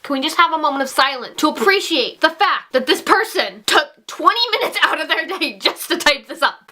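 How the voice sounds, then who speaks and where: A woman's voice: sits high, lively intonation, brisk, sharp tone. A woman YouTuber from Maine with a Maine accent, chatting upbeat and playfully sarcastic in a casual vlog. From Maine, USA.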